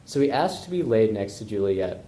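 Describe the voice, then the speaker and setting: A man's voice: fairly low pitch, very animated, moderate pace, mellow, a little hiss or hum. A man narrating an amateur puppet show retelling from a script. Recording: roomy echo.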